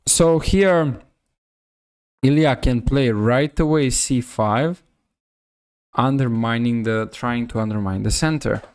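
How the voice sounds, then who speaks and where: Slow-paced Serbian-accented man commentating live. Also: mid-range voice, darkish tone, clear, resonant tone, no room sound, clean studio sound. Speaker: chess streamer, commentator. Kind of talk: live stream chess commentary.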